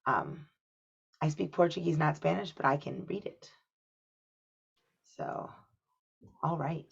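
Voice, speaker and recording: Low-voiced woman facilitator, slightly muffled. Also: slow pace, gravelly, breathy edge. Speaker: workshop facilitator. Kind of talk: interactive group facilitation on a video call. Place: online meeting. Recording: muffled video-call audio.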